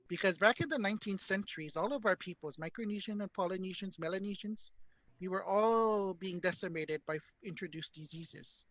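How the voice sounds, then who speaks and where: A man's voice: sits high, pushed and bright, dry recording, poor audio. A man from Hawaii, Hawaiian his first language, hosting a panel discussion in an earnest, thoughtful way with many fillers. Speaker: panel host.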